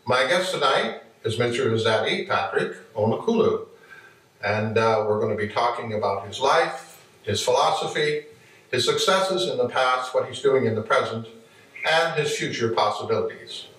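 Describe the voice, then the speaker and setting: A man's voice: medium pitch, animated, moderate pace, a bit bright. A male host with a mid-range voice introduces his guest and asks friendly questions. He sounds a little far from the mic, in a very reverberant room. Faint music plays underneath. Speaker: talk show host. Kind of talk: interview hosting.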